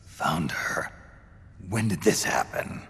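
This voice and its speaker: A gravelly aged soldier. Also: male voice, lively intonation, exaggerated voice. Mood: stunned. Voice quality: gravelly, low and hushed. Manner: short, slow, clipped questions. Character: aged gruff male soldier, terse and guarded. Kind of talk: video game cutscene acting. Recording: reverberant game cutscene with music.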